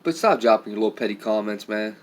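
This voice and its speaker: Raspy, tired man talking low. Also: fast. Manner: rambling, heavy with filler phrases. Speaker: vlogger. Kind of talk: casual talk to viewers on a live stream.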